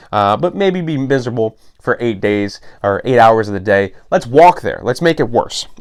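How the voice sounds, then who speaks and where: Monotone male podcast host, smooth voice. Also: mid-range voice. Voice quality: self-described monotone voice. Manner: dry, sarcastic asides, occasional swearing. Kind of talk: casual solo podcast, reading an article aloud.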